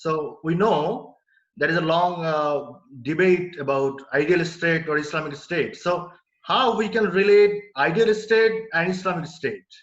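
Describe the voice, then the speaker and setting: A man's voice: on the high side, moderate pace. A man, a graduate student abroad, asking a humble, hesitant question on a call. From Bangladesh. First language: Bengali. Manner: humble, hesitant, many fillers. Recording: roomy, muffled call audio.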